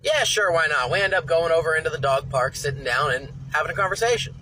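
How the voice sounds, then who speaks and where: Man, truck driver, calm and matter-of-fact, fairly high voice, noisy background. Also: fast. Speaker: truck driver telling his story. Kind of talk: personal account.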